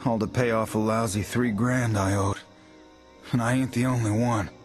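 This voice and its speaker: A man's voice: on the low side, fairly even intonation, moderate pace, soft background music, lightly acted. A man voicing a scrappy, resentful enslaved miner in a video game, folksy and plain-spoken. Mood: bitter, eager.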